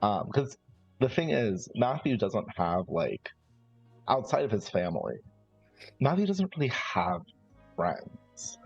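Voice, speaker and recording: A man chatting casually and haltingly out of character as a player in a tabletop roleplaying game. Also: fairly low pitch, very animated, creaky, breathy edge. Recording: dull lo-fi sound, background music.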